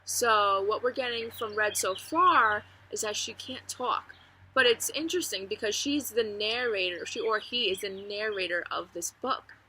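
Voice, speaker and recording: A woman's voice: medium pitch, moderate pace, pushed and bright. A woman speaking as a teacher to children during a read-aloud, outdoors, addressing them directly and expressively. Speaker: teacher reading aloud to students. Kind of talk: children's book read-aloud with explanatory asides. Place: outdoors among trees. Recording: outdoor ambient noise, faint birdsong. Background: birds and bugs.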